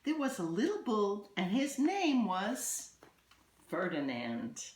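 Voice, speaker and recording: A woman reading a children's picture book aloud, telling the story deliberately and expressively with pauses. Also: medium pitch, huge pitch swings, a little mellow, slight background noise. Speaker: storybook reader, teacher. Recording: echoey room.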